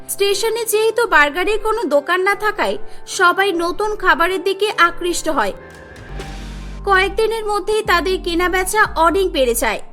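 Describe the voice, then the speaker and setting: A woman's voice: very high-pitched, sharp tone. A woman narrating a scripted cartoon story as its storyteller.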